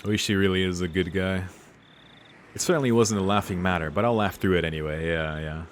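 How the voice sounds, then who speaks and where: Low-pitched man, laid-back gaming commentary. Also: steady tone, a little fast, slight background noise. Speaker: let's play streamer. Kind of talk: casual gaming commentary, reading subtitles aloud. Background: game music.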